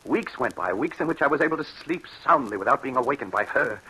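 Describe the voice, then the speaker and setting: A man's voice: mid-range voice, lively intonation, fast talker, bright, forceful tone, breathy edge, heavily acted, poor audio. An actor playing a haunted, fearful man in an old radio drama, melodramatic and intense, stammering under strain. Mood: grim, haunted. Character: haunted, fearful man tormented by a ghostly cat-woman. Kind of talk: 1940s radio drama acting. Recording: hissy old radio broadcast.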